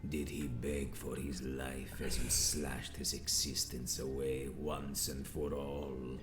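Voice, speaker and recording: An actor playing a grandiose, cold and imperious vampire leader in a video game, speaking in a pompous, ornate way. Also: male voice, extremely low pitch, flat delivery, roomy sound, music in the background. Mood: grandiose, commanding. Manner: pompous, ornate, deliberate delivery. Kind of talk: theatrical video game villain acting.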